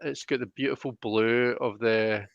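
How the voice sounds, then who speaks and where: A man's voice: mid-range voice, steady tone, moderate pace, vocal fry, no room sound, dull audio. A man giving a conversational tarot reading, explaining each point in a reflective, searching way. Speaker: tarot reader.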